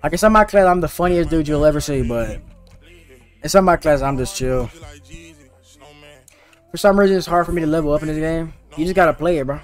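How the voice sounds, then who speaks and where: Slangy teen boy streamer, high voice. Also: fairly quick, long pauses, faint music behind. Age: teens. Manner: slangy and laid-back, talking to chat. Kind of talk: casual live-stream chat while gaming.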